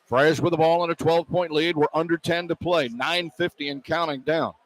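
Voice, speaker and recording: A man doing live radio basketball play-by-play, describing the action in short, clipped phrases that build toward excited calls. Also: on the high side, fairly quick, poor audio. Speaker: play-by-play announcer. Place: broadcast position at an indoor arena.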